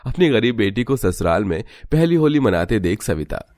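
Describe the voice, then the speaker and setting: A man's voice: fairly low pitch, sing-song, moderate pace, a little mellow. A man giving scripted narration for a cartoon story.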